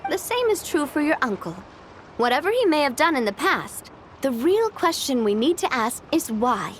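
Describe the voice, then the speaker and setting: Earnest, high-voiced female official. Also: sing-song, normal speed, a little hiss or hum. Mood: earnest, reassuring. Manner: earnest, reassuring, explanatory. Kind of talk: video game voice acting.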